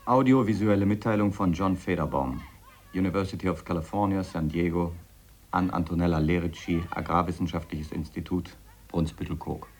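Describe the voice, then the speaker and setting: A man's voice: low-pitched, moderate pace, a little mellow. An actor playing a formal male university scientist dictating a clipped recorded message in a radio play. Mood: formal, matter-of-fact.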